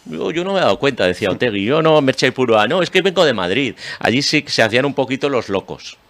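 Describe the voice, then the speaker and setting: A man's voice: mid-range voice, sing-song, quick pace, a bit bright. A man with an accent from Spain, hosting a panel discussion and speaking emphatically, often repeating phrases. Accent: Spanish from Spain. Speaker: host.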